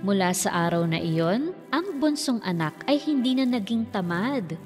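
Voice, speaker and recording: A woman's voice: mid-range voice, big pitch swings, moderate pace. A woman storyteller reading a scripted children's fairy tale as narrator, with long reverb on the voice. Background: faint music.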